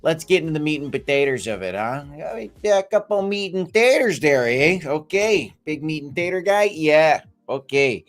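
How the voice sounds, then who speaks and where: Host, male, hoarse, jokey livestream banter to chat, faint music bed. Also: fairly high pitch, animated, moderate pace, hardly any pauses. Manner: jokey, repeats phrases, talks to chat. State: losing his voice, deep and hoarse. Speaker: crypto show host.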